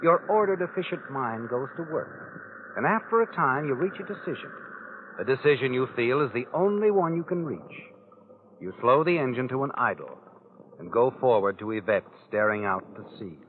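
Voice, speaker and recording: An actor playing an omniscient, taunting narrator of crime tales in vintage radio drama style, dramatic and knowing. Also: male voice, medium pitch, expressive, normal speed, slight background noise, lightly acted. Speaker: host and narrator. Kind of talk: 1940s-50s radio drama narration in second person. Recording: tinny band-limited vintage radio recording. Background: dramatic music.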